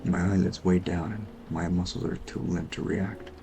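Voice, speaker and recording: A man playing a weary, brooding detective, narrating in a measured film noir style for an audio drama. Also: sits low, fairly even intonation, gravelly, warm tone, slight background noise. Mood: uneasy, disbelieving. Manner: brooding, measured first-person monologue. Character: weary, sleepless noir detective brooding over murder cases. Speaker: voice actor narrating an audio roleplay.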